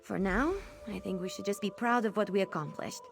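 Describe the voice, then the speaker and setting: A woman voices a young reformed pickpocket from a fantasy game, loyal to her friends and openly heartfelt. The delivery is expressive and earnest at a middling female pitch, with music running underneath. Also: fairly quick, creaky, lightly acted. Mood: relieved, grateful. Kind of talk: video game character dialogue.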